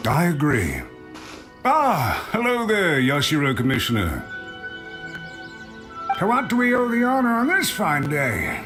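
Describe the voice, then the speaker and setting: A man's voice: fairly high pitch, wildly swooping pitch, unhurried, pauses often, over music. A man playing a genial, deferential clan retainer in an anime game, formal and effusively polite. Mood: businesslike. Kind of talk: anime video game voice acting.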